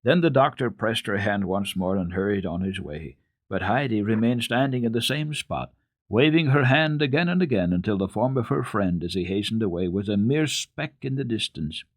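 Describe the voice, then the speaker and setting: A man narrating children's literature as a radio storyteller, reading dramatically in an expressive, deliberate way. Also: lowish voice, no echo.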